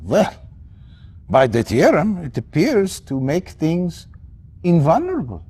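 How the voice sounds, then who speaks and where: An actor playing an excitable, distracted elderly male scientist who talks in halting, rambling bursts in a video game cutscene. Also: fairly high pitch, breathy edge, full character voice. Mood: excited.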